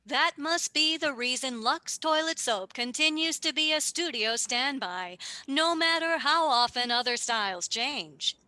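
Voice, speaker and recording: Woman as high, bright radio announcer. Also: lively intonation, a little slow, hardly any pauses, no echo, exaggerated voice. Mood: genial, persuasive. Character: genial, salesmanlike 1940s male radio announcer. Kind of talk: 1940s radio ad patter.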